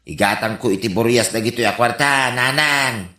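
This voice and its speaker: A man's voice: mid-range voice, moderate pace, pushed and bright, lightly acted. A man acting a boastful, money-minded but gullible village husband in Ilocano comedy radio drama, with an Ilocano accent and exaggerated hesitant delivery. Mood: evasive. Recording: roomy, echoing sound.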